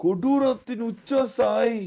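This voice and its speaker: A man chatting casually and excitedly with friends on a gaming live stream, exclaiming and repeating himself teasingly. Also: extremely high pitch, slow, gravelly.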